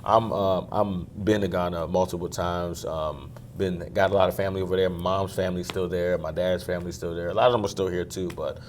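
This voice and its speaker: A young man from Maryland, a singer, talking casually in an interview, relaxed and quick to laugh. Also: low voice, slight background noise. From Maryland, USA. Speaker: guest singer.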